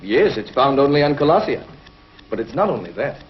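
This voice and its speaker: A man playing a grave, learned space scientist in 1950s television adventure style, measured and authoritative. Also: medium pitch, sing-song, natural voice. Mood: grave, thoughtful. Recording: dull, noisy 1950s TV film soundtrack.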